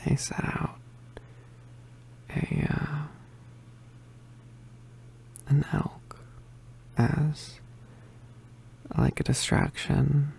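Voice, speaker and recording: A performer voicing an injured, poisoned young male witch in an ASMR roleplay, weak and apologetic, mostly whispering in halting, pained breaths. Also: medium pitch, steady tone, a little mellow. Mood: pained, weary. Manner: halting, pained, with sighs and throat clearing.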